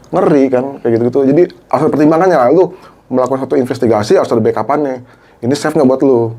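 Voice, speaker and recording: This is a middle-aged man, relating his own experience in a relaxed podcast chat. His voice sits in an ordinary middle pitch, and he acts out the lines of the people in his story, growing livelier as the tension builds. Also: rapid-fire. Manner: animated, acts out quoted dialogue, Jakarta slang. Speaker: guest, former TV cameraman.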